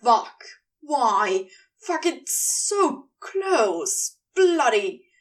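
A woman's voice: high voice, big pitch swings, extremely slow, far from the mic, heavily acted. Woman voicing an exasperated, blurting, foul-mouthed man. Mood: exasperated, frustrated. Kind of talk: audiobook character voice.

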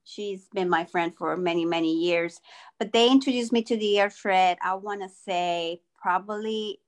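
A woman's voice: medium pitch, normal speed. A woman from Costa Rica, calling in on a video call and giving brief, friendly answers. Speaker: caller. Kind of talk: casual chat.

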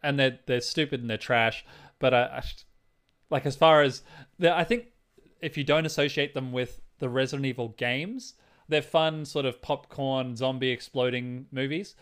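A man's voice: medium pitch, moderate pace, fairly bright tone, clear, resonant tone. Blunt, opinionated man chatting about films. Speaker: podcast co-host. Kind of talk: casual film chat.

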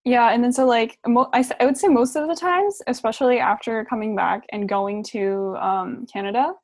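A woman's voice: fairly high pitch, normal speed, a little mellow, dry recording, studio quality. A young woman chatting casually as a podcast co-host, a native speaker of both Japanese and English, who peppers her informal talk with "like". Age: young adult. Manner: informal, frequent "like". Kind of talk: casual chat.

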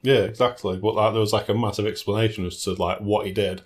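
A man's voice: lowish voice, fairly even intonation, quick pace. A man chatting casually about films and games, rambling a little as he searches for his words. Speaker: film and game reviewer in a two-person discussion. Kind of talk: casual chat.

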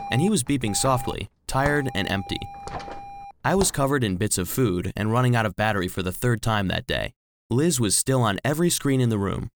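Earnest little robot storyteller, dry studio sound. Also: male voice, mid-range voice, dry recording. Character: small devoted male household cleaning robot, narrating. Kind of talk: scripted children's audio drama narration.

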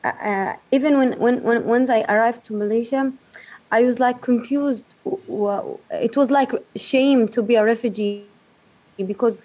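A woman from Yemen, speaking haltingly in a remote interview, searching for words. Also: a little high, darkish tone, low-quality recording. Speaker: guest, refugee advocate.

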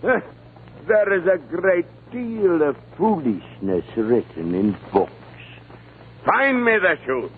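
A man's voice: on the high side, big pitch swings, slow pace. An actor playing a pompous, demanding king in a 1950 radio drama, declamatory and commanding. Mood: imperious. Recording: tinny, noisy 1950 radio broadcast.

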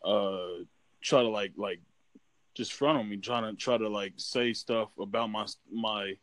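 A low-pitched man talking loosely, full of fillers and restarts. His voice sits close and dry, with no room sound around it. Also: unhurried, a bit bright, no room sound. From United States. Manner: rambling, filler-heavy, restarts often. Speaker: podcast guest. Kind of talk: casual chat.

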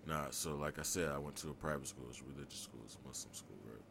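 A young man co-hosting a casual podcast chat, low and monotone in his everyday talk, turning loud and laughing when riled up. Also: rapid-fire, gravelly, noisy background. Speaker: podcast co-host.

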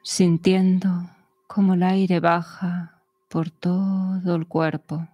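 Woman, meditation guide, very unhurried delivery, soothing measured phrasing, long pauses, close dry recording. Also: fairly low pitch, flat delivery, dark tone, no echo.

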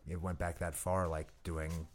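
Deep-voiced man chatting haltingly. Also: little pitch movement, a little hiss or hum. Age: 30s. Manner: halting, many restarts and fillers. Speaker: guest comedian. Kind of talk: casual interview chat.

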